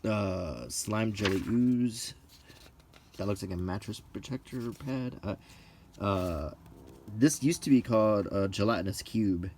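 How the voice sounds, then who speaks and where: A middle-aged man giving halting, offhand commentary as he leafs through books, listing items one by one with casual opinions. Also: fairly low pitch, a little mellow, a little hiss or hum. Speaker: presenter of a hobby video. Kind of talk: unscripted casual commentary while leafing through books, unintentional ASMR.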